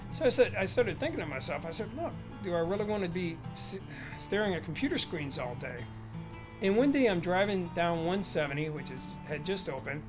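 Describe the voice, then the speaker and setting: A man, a lawyer, telling the story of his career in a lively way and acting out the quoted talk. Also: sits high. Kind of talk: documentary interview storytelling. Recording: music playing under the voice.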